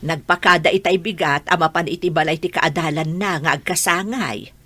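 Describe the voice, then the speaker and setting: Husky, low-voiced Filipino mother. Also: normal speed, bright, forceful tone. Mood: gentle. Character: elderly mother, gentle, pious and watchful of her daughter. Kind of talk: Filipino radio drama acting.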